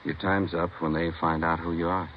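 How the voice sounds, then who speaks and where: Man as very deep-voiced American police captain. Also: little pitch movement, a little fast, natural voice. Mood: serious, cautioning. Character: steady, cautious police captain briefing his undercover officer. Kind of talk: 1950s radio crime drama acting. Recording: muffled, noisy vintage radio recording.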